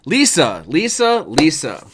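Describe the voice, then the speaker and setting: A man with a slightly hoarse voice hosting a casual chat show, rambling in a laid-back, slangy and sometimes profane way. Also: a little high, background noise. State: hoarse from voice work. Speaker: show host.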